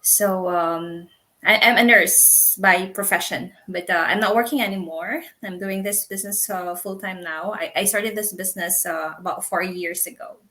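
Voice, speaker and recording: A woman in her thirties from the Philippines, a guest in a casual interview, speaking haltingly with repeated words and frequent laughs. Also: medium pitch, fairly even intonation, sharp tone. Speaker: guest, business owner.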